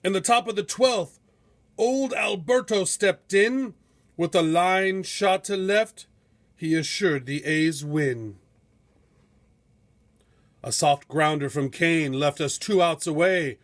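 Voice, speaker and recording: Male storybook narrator, high register, unhurried delivery, dramatic and measured, suited to heroic verse. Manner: theatrical, measured, epic storytelling. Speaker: storybook reader.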